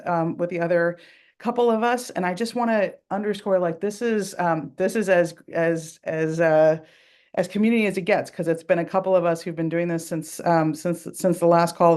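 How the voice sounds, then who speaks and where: A woman hosting an organizing call, speaking haltingly with many fillers as she introduces a speaker. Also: lowish voice, fairly even intonation, darkish tone. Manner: halting, lots of fillers and repeats. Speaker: call host. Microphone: video or phone call.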